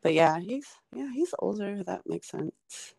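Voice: medium pitch, lively intonation, a little slow, vocal fry, a little mellow, breathy edge, dry recording. A comedian in their forties, guesting on a podcast in casual, rambling chat, full of fillers. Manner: rambling, filler-heavy, chatty.

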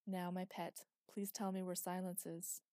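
A woman voicing a grieving young woman who speaks softly but with quiet command. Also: fairly low pitch, flat delivery, unhurried, dry recording, studio quality, lightly acted. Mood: tender, grave.

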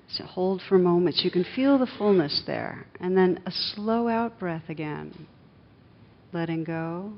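Female meditation guide, fairly low register, calm instructive phrasing with pauses, faint rumbly noise behind a lo-fi capture. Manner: soothing, measured, with short phrases and pauses. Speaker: meditation teacher leading a group. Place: a room with a live group. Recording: dull lo-fi recording, clear background rumble noise.

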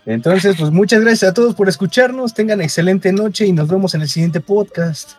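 A man's voice: high voice, faint music behind. A man in his early twenties with a Mexican accent, co-hosting a podcast and reacting eagerly. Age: 20s. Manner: excitable, quick reactions. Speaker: podcast co-host. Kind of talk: casual chat among friends.

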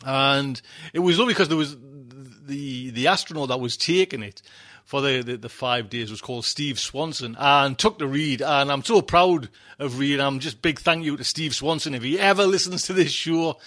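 A man's voice: mid-range voice, moderate pace, fairly bright tone. A middle-aged man, hosting a podcast in casual, effusive, rambling chat. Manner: effusive, rambling, repeats himself for emphasis. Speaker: podcast host.

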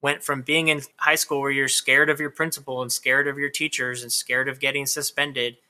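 Bright-voiced man in his thirties. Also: medium pitch, flat delivery, normal speed. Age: 30s. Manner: candid, talkative, lots of "like" fillers. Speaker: author guest. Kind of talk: casual interview chat.

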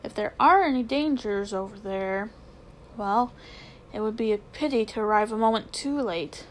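A woman's voice: medium pitch, moderate pace, slight background noise, natural voice. A woman voicing a pompous, scheming elderly male magician with a haughty, lecturing manner in a storybook reading. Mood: condescending. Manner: haughty, lecturing, self-important.

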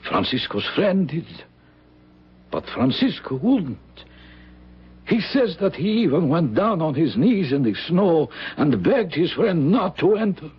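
An actor playing a grave, kindly old village priest who tells dark tales solemnly, in old-time radio drama style. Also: male voice, on the high side, sing-song, breathy edge, full character voice. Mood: ominous. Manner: solemn, deliberate storytelling with dramatic pauses. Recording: dull vintage radio broadcast recording.

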